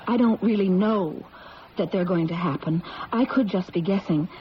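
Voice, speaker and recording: A woman's voice: lowish voice, moderate pace, close to an everyday voice. An actress playing an anguished, defiant young mother with earnest, intense delivery in a radio mystery drama. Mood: doubtful, troubled. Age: young adult. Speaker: actor. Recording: muffled, hissy old radio broadcast.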